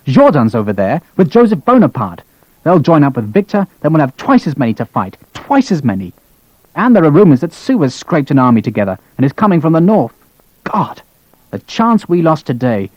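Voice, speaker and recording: A man's voice: a little high, big pitch swings, a little fast, no room sound, highs cut off. A man voicing a furious, exhausted British cavalry colonel who rants and curses. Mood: bitter. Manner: ranting, shouting, cursing.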